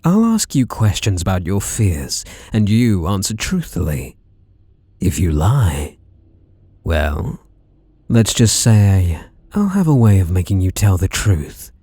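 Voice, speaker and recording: A British man plays a masked slasher with an obsessive, possessive streak, made for intimate ASMR roleplay. He is close and dry, drawing his words out slowly. The pitch swoops widely as he teases and flirts with soft menace. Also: big pitch swings, slightly rough, no echo, exaggerated voice. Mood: teasing menace. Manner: teasing, softly menacing, drawn-out delivery with sudden swoops.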